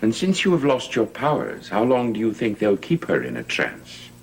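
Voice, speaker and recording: A man's voice: medium pitch, wildly swooping pitch, moderate pace, slight background noise, rough recording. An actor playing a cold, calculating man in a gothic soap opera, with a stern, cutting delivery. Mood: cold, impatient. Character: cold, calculating man breaking off with accomplice lover. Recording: old TV soundtrack, loud music behind.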